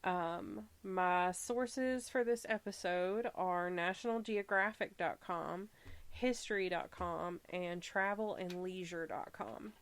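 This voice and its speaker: A woman with a Southern US accent hosting a podcast. She reads from her researched notes and breaks off for relaxed, chatty asides, stumbling now and then and laughing at herself. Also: on the low side, slow pace. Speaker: podcast host.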